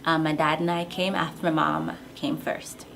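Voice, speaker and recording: A young adult woman from Kenya giving thoughtful, measured interview answers with frequent pauses and fillers. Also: low-pitched, little pitch movement, gravelly, a bit bright, echoey room, a little hiss or hum.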